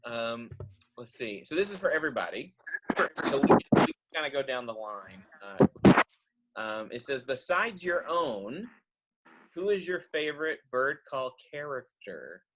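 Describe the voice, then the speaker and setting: Casual man hosting, medium pitch. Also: sing-song, unhurried, very noisy. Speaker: host and cast member. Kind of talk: casual chat.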